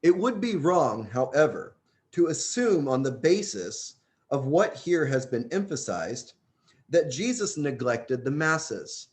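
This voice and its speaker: Animated man reading a religious book aloud. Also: medium pitch, slow pace. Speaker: audiobook reader.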